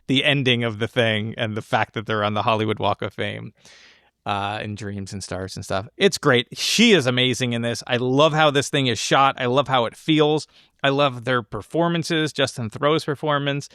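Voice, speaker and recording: A man's voice: medium pitch, normal speed, a bit bright, clear, resonant tone, no room sound, studio quality. A man co-hosting a film podcast in casual chat, enthusiastic and rambling in a stop-start way. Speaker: podcast co-host.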